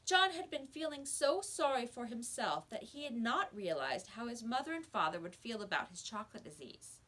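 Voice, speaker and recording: A woman reading a children's story aloud as a teacher would, with expressive narration. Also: fairly high pitch, moderate pace.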